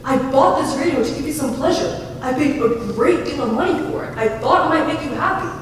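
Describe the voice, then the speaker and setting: A weary husband pleads in exasperation, as a woman reader puts him on. The voice stays high for a man. A reverberant hall echoes it from afar. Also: expressive, brisk, slight background noise. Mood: exasperated. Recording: echoey hall, distant mic.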